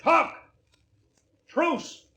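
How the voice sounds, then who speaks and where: An actor playing a steady, reasoning rocket crew captain in a 1950s radio drama, terse and measured. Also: male voice, no room sound. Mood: urgent, calling out. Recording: old 1950 radio recording.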